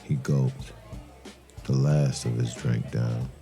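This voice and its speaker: An actor playing a brooding, suspicious detective who narrates a noir crime drama in a grave, deliberate manner. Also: male voice, rumbling low, steady tone, warm tone, faint music behind, full character voice. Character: brooding male detective, terse, suspicious and methodical. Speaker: narrator and lead character of an audio crime drama.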